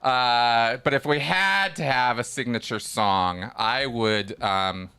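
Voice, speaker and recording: A man's voice: medium pitch, slow, clean tone. A man hosting a live call-in podcast, chatting casually and rambling with restarts. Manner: relaxed, rambling, many restarts. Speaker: podcast host.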